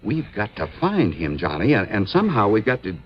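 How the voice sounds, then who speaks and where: A muffled vintage radio recording carries an excitable American insurance man. His pitch swings in a lively way, and he speaks with anxious, agitated emphasis in the style of 1950s drama. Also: fairly quick, a little hiss or hum. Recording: dull old radio recording.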